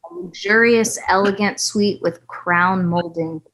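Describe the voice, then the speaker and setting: A woman's voice: mid-range voice, steady tone, unhurried, a bit bright, a little hiss or hum, low-quality recording. A woman reading scene headings and stage directions as the narrator of a screenplay table read.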